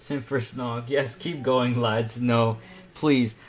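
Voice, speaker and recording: A man's voice: medium pitch, steady tone, takes it slowly. Young man rambling casually while drawing. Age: teenager. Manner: rambling, thinking aloud while working. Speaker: panel host and artist. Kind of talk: casual chat while drawing on a live stream.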